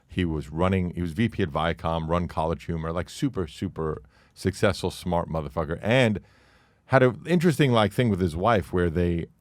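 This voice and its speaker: A man's voice: low voice, normal speed, no room sound, clean studio sound. A man in his late forties, a guest on a podcast, talking in a blunt, profane, brash storytelling way. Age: 40s. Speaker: podcast guest, comedy club owner. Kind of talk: casual podcast chat.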